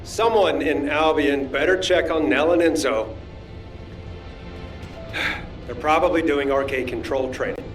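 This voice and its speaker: A man's voice: on the high side, a little slow, pauses often, recorded from a distance, faint music behind, natural voice. A man playing a weary, dry soldier. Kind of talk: game cutscene dialogue.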